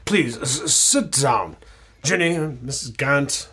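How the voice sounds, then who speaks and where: A man's voice: mid-range voice, expressive, takes it slowly, a crowd in the background. A man playing a stammering, flustered doctor. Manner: hesitant, stammering. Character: flustered middle-aged male country doctor. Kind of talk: old-time radio drama acting.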